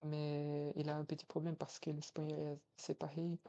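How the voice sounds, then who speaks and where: Young man, Brazilian Portuguese accent, hesitant with fillers, flat delivery, dull lo-fi recording. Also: a little high, gravelly. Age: young adult, 21. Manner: hesitant, halting, many fillers and restarts. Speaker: language-learning vlogger. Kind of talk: casual vlog, practicing foreign languages.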